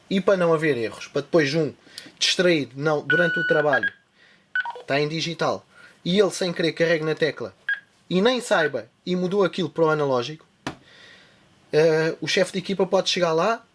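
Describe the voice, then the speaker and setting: A man with a European Portuguese accent hosting a tech channel, walking viewers through a device setup step by step as he demonstrates it. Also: high-pitched. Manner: step-by-step explaining while demonstrating. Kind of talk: hands-on device tutorial.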